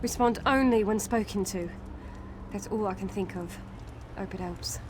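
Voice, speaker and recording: A woman's voice: mid-range voice, normal speed, heavy background noise, natural voice. A woman playing a proud, hostile wood elf warrior in a video game, blunt and guarded. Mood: reluctant advising. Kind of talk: video game character dialogue.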